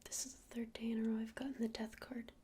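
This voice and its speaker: A woman whispering softly and breathily in an ASMR roleplay as an easygoing witch shopkeeper, casual and a little hesitant. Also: fairly high pitch, little pitch movement, fast, background noise. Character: friendly, easygoing witch running a crystal shop.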